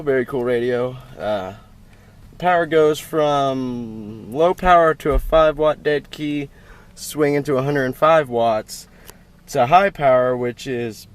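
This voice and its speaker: A man giving an informal, plainspoken how-to walkthrough as a DIY video host, filmed in and around a pickup truck. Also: medium pitch. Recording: clear background noise, vehicle ambience.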